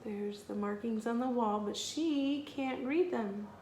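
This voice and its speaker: A woman teacher reading a children's story aloud deliberately and expressively, pausing to put questions to listeners. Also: fairly high pitch, darkish tone, echoey room, a little hiss or hum, rough recording.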